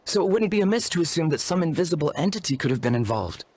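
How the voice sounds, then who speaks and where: A man's voice: on the high side, big pitch swings, fast talker, a little mellow, a little hiss or hum. An actor playing an earnest, courteous and wordy young shaman apprentice in a video game, speaking in a formal, polite manner. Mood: earnest, deferential. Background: music.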